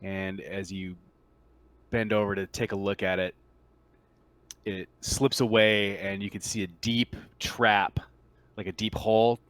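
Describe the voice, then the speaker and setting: Low-voiced man narrating a tabletop game. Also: little pitch movement, measured pace, pauses often, fairly bright tone, smooth, dry recording. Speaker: game master of a tabletop RPG.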